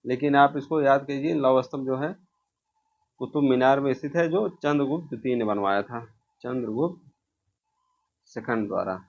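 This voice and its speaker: A man's voice: mid-range voice, normal speed, pauses often. A dull, slightly lo-fi recording of a man with a mid-range voice. He works as a coaching teacher running through revision questions. He asks each question, answers it himself and repeats the key answer.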